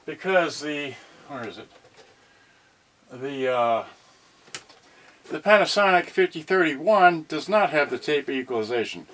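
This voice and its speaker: A man's voice: on the high side, expressive, measured pace, long pauses, fairly bright tone, a little hiss or hum, poor audio. A man chatting off the cuff as a livestream host, scattered and folksy, often muttering asides to himself. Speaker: host of a home unboxing video about cassette radios. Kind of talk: rambling unscripted livestream chat. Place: cramped indoor space.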